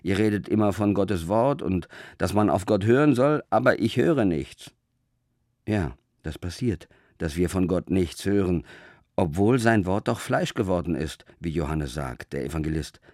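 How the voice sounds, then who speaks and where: A man preaching in a scripted, measured and reflective way, with rhetorical questions and deliberate pauses. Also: sits low, animated, a little mellow, dry recording, clean studio sound. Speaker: preacher hosting a religious radio talk. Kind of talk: scripted sermon narration.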